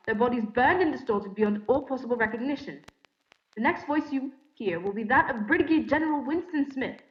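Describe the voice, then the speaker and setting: A woman's voice: on the high side, lightly acted. A woman playing a formal radio news announcer who relays grave emergency bulletins in an old-style radio drama. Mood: grave, urgent. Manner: formal, measured wording, urgent delivery. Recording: muffled, echoey stage recording.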